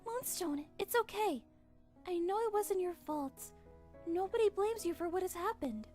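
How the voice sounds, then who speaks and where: Woman voicing a gentle, very high-pitched young pony. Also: animated, normal speed, soft background music. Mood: tender. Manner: tender, hesitant. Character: gentle, emotional young female pony, Fluttershy. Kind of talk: animated fan audio drama acting.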